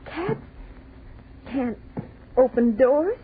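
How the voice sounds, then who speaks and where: An actress playing a tense, bossy young woman fighting down dread in 1930s radio horror, halting and sharp with commands. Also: sits high, lively intonation, full character voice. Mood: hushed dread. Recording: noisy, tinny 1930s radio recording.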